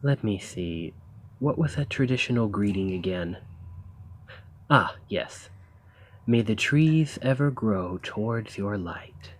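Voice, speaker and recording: A man playing an eager, courteous professor of ancient civilizations in a scripted ASMR roleplay, speaking in a formal, bookish, slightly halting way with a husky voice. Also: fairly low pitch, lively intonation, slight background noise. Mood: fascinated, polite. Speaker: audio roleplay performer.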